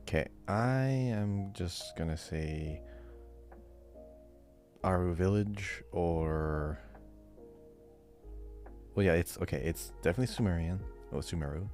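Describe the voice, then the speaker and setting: Low-voiced man musing over music. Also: slow, pauses often, a little mellow. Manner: hesitant, musing. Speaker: podcast quiz contestant. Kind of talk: casual chat, thinking aloud.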